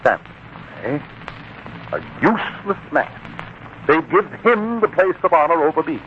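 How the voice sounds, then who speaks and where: A tinny, faintly noisy 1940s radio drama recording of a self-important employer. His voice sits a little high and swings with wounded pride, pompous and quick to take offense, coaxing one moment and indignant the next. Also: sing-song. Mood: indignant, menacing. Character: proud, petty, self-important male employer, easily offended.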